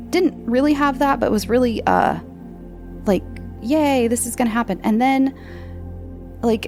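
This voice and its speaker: Chatty woman host with a gravelly voice. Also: mid-range voice, darkish tone. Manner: chatty, meandering, many fillers and restarts. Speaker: podcast host answering listener questions. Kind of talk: casual rambling chat.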